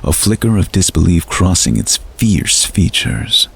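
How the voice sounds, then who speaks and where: A man narrating a scripted fantasy bedtime story in a measured, atmospheric, dramatic way. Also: low voice, big pitch swings, vocal fry, slightly rough.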